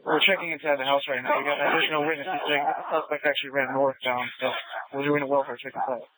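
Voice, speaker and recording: Quick-talking policeman over radio. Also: medium pitch, level tone, bright, forceful tone, dry recording, low-quality recording. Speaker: police officer at a scene. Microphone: two-way radio. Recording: dry police scanner radio audio.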